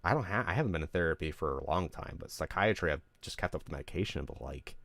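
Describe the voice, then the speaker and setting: A man's voice: sits low, lively intonation, quick pace, vocal fry, a bit bright, no room sound. A streamer man ranting candidly to his live audience, rambling, emotional and full of swearing. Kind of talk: candid venting on a livestream.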